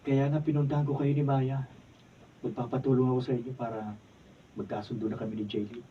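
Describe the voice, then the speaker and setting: A man's voice: mid-range voice, level tone, fairly quick, close to an everyday voice. A Filipino man playing an earnest, lovesick young student with a pleading manner in a radio drama. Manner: pleading, sentimental. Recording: muffled, distant mic, noisy room.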